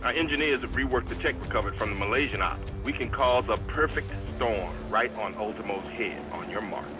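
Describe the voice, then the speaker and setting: An actor playing a curt, commanding military chief giving orders. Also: male voice, on the low side, brisk, natural voice. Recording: over loud music.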